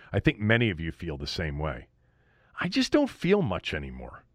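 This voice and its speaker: A man's voice: medium pitch, fairly bright tone, no room sound, clean studio sound. A man with an American accent giving an opinionated sports monologue as a host, speaking emphatically and repeating phrases for weight. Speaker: sports podcast and radio host.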